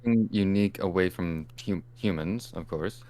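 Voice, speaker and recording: A man playing a mild, hesitant angel, a calm peacemaker in improvised comedy, speaking haltingly as he searches for words. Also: low-pitched, close to an everyday voice. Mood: thoughtful. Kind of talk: improvised comic role-play.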